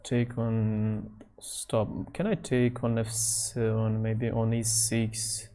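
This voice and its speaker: Slow, halting man thinking aloud. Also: lowish voice, fairly even intonation. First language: Nogai. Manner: halting non-native English, thinking out loud. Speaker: chess streamer. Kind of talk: thinking aloud while playing online chess.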